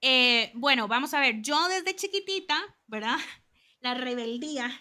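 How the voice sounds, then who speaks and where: Costa Rican woman, 30s, interview guest; high and bright, chatty and animated. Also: unhurried. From Costa Rica. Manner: animated, chatty storytelling with lots of asides. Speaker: guest, communications consultant.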